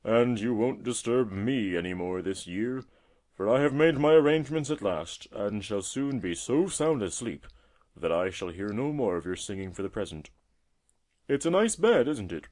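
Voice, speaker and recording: A man's voice: on the low side, expressive, normal speed, a little mellow, natural voice. A man voicing a testy but friendly old male tortoise in a storybook, chatty and avuncular, speaking emphatically. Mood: gleeful, chatty. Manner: emphatic, chatty, avuncular, fond of tag questions. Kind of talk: storybook character voice.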